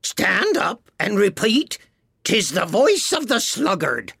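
A performer voicing an impatient, curt mythical beast in a storybook, with a raspy, creaky voice. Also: medium pitch, wildly swooping pitch, slow, a bit bright, no echo, clean studio sound, pitched above the performer's own voice, exaggerated voice. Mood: commanding.

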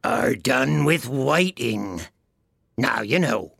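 A performer voicing an impatient, curt mythical beast in a storybook, with a raspy, creaky voice. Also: mid-range voice, expressive, slow, a bit bright, no echo, studio quality, voice pushed up, full character voice. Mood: brusque.

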